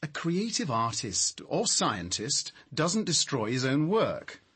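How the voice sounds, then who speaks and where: An actor playing a prickly, sardonic scientist in a radio crime drama, speaking in a dry, articulate way. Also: male voice, on the high side, big pitch swings, takes it slowly, a bit bright, slightly rough, full character voice. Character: prickly, sardonic male power station scientist.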